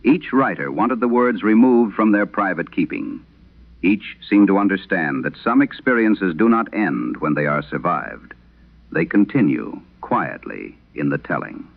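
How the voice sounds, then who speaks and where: A man playing a solemn late-night radio host, narrating eerie letters in a grave, deliberate, formal way. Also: low voice, expressive, vocal fry, full character voice. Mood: solemn, ominous. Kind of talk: vintage radio horror narration. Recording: muffled old radio broadcast sound.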